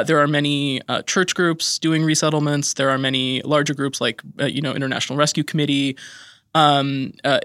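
A woman's voice: a bass voice, a bit bright, no room sound, studio quality. A woman from Idaho, a podcast guest, speaking in an impassioned, emphatic way and sounding tired and on edge. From Idaho, USA. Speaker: guest commentator. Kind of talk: podcast interview.

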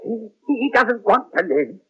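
A man playing an anguished human surgeon in an old-time radio drama, halting and overwrought, repeating his phrases. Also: medium pitch, very animated, slightly rough. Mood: frantic pleading. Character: anguished human surgeon obsessed with discrediting robot doctors. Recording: dull, lo-fi old radio recording.

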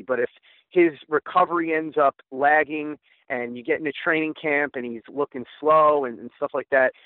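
A man with an American accent discussing sports as a podcast co-host, weighing both sides in a measured way. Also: medium pitch, dry recording. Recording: thin phone-like line, dry.

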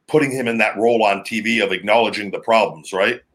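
A man with a deep, heavy, booming voice, hosting a podcast in a loose, rambling, talkative way. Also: quick pace, fairly bright tone. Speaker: podcast host, former pro wrestler. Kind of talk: casual podcast chat.

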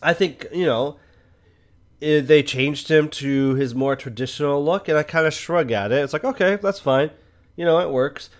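A man's voice: on the high side, normal speed. A man co-hosting a podcast, talking through films in a casual, reflective, conversational way. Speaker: podcast co-host. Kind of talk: casual film review chat.

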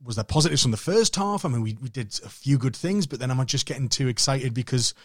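A Northern English podcast co-host with a mid-pitched voice who speaks casually, stumbling and repeating words as he works through his thoughts. The sound is dry. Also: lively intonation, no room sound. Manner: halting, repeats words while thinking aloud.

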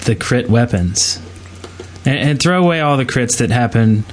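Regular guy cohosting a game podcast, mid pitch, just chatting casually. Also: animated, moderate pace, slight background noise. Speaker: podcast co-host. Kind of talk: casual gaming podcast chat.

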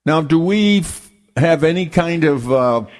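Radio host asking easygoing questions. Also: male voice, on the high side, lively intonation, unhurried, faint music behind. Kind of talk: radio interview.

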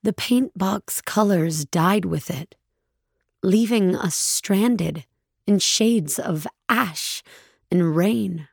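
A husky, grieving narrator of doom. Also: female voice, medium pitch, darkish tone, no echo, studio quality, full character voice. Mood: despairing. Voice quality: husky, gravelly. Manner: somber, measured, deliberate. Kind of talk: dramatic first-person audio fiction narration.

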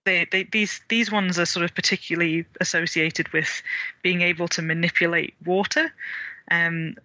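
A man's voice: high-pitched, bright, forceful tone, dry recording, highs cut off. A man as a podcast guest, explaining folklore with many ums and restarts. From Wales.

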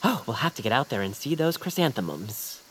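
A fretful, courteous friend in an audio drama. The delivery swings widely in pitch, with hesitant, quaint phrasing and polite timidity. A faint noise sits behind the voice. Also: female voice, big pitch swings, a little fast, low-quality recording. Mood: polite, gentle. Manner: hesitant, old-fashioned genteel phrasing. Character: timid, fretful, polite friend named Noah, afraid of heights. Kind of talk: audio fiction acting in a quaint old-radio manner.

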